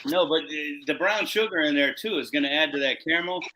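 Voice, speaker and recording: Slangy male cooking host over clear noise. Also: on the high side, brisk, sharp tone, low-quality recording. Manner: slangy, hyping the food. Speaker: host chef of a live cooking show. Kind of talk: casual live cooking demonstration chat.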